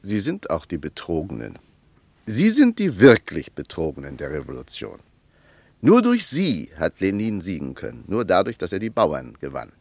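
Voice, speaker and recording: A bitterly critical male intellectual in a radio play. His lowish voice swings widely as he lectures, emphatic and rhetorical, in a very dry studio. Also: huge pitch swings, moderate pace, darkish tone, no room sound, exaggerated voice. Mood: emphatic, insistent.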